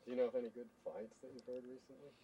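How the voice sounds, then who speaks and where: Male interviewer, fast delivery, medium pitch, casual questions over a clearly noisy old tape. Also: level tone, mellow. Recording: noisy old videotape sound.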